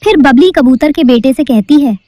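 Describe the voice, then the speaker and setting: A woman's voice: sits high, expressive, moderate pace, warm tone, no echo. A woman narrating a cartoon story in a storybook-telling manner.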